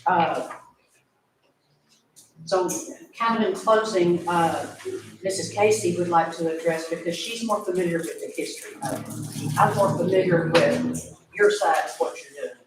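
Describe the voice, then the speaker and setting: A man addressing a county meeting during public comment, polite and measured, with filler pauses. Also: sits high. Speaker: resident speaking at public comment. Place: county commissioners court meeting room. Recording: echoey room from far off, faint noise.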